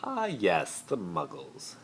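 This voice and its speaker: A theatrical, spoiled teenage wizard from a fan story, acted out by a man. He is pompous and grandly over-dramatic, pitched well above the reader's deep natural voice. The phone recording carries some faint noise. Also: wildly swooping pitch, takes it slowly, heavily acted. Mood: bored, haughty. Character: haughty, theatrical teenage rich boy wizard, posh and gallant. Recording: noisy amateur phone recording.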